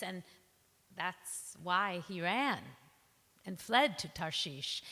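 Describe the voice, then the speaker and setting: A woman giving a deliberate, theatrical spoken word reading as one of a cast in a dramatic storytelling piece. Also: mid-range voice, bright, forceful tone. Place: large reverberant temple hall. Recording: long hall reverb.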